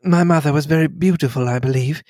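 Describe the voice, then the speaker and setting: A man reading the lines of a reserved young English wife, speaking quietly and in a measured way. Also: on the high side, no echo, studio quality, lightly acted. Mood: thoughtful.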